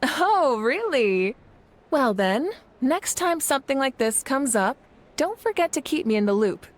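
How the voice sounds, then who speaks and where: A woman's voice: sits high, big pitch swings, measured pace. An actress playing a composed, friendly young warrior woman with an earnest, curious manner in a video game. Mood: pleased. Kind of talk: video game dialogue acting.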